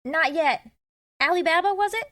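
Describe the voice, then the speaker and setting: An actress playing a naive, puzzled young maid, eager to help, in an audio comedy drama. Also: female voice, very high voice, normal speed, pushed and bright, no room sound, clean studio sound.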